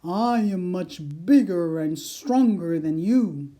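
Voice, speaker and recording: A woman's voice: lowish voice, lively intonation, takes it slowly. Woman doing a proud big lion. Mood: boastful. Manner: louder, firm and proud. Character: proud strong male lion, boastful then kind.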